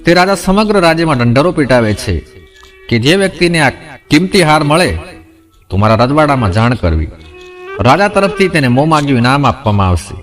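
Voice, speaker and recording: Higher-pitched male storyteller over music. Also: very animated, brisk, a bit bright. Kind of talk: scripted moral folk tale narration.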